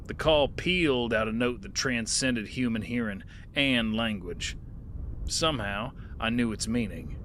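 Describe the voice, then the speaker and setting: An actor narrating as a weary gunslinger in an audio drama, with a dry, laconic delivery. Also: male voice, mid-range voice, unhurried, a bit bright, a little hiss or hum, natural voice. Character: weary male gunslinger narrating his tale.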